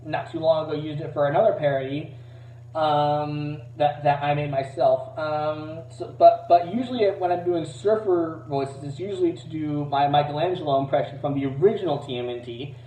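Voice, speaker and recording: A man's voice: fairly even intonation, normal speed, dark tone, slight background noise. A man giving a casual, rambling demo of voices as an amateur impressionist, hesitant and self-deprecating. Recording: roomy, distant, dull low-fidelity mic.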